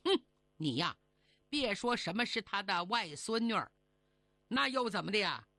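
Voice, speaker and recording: Woman doing a grumpy, sneering male god, forceful. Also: mid-range voice, big pitch swings, normal speed, no room sound. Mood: grumbling. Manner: scornful, crude, grumbling.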